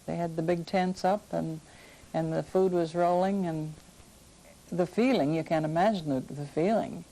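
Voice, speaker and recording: A woman's voice: lowish voice, steady tone, moderate pace, warm tone, low-quality recording. An older woman, casually recalling the past as an interviewee. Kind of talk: casual oral-history reminiscence.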